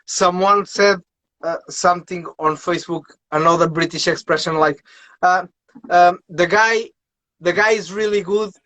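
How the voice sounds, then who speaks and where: A man's voice: sits high, fairly even intonation, normal speed, bright, forceful tone, low-quality recording. A man with a Portuguese accent hosting an interview, speaking English as a second language in a friendly way. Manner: friendly, slightly non-native phrasing. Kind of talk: conversational interview.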